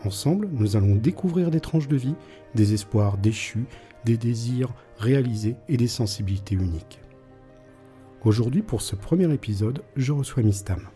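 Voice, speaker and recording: A man with a very mellow, dark voice at an average pitch hosts an interview podcast. His lines are prepared introductions and brief questions, with music behind him. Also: expressive, moderate pace. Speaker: podcast host.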